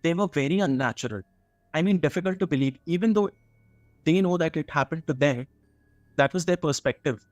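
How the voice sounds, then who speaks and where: A man's voice: fairly high pitch, fairly quick, no room sound. A man with an Indian accent telling a story in casual conversation, repeating phrases as he goes. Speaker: storyteller on a radio horror show.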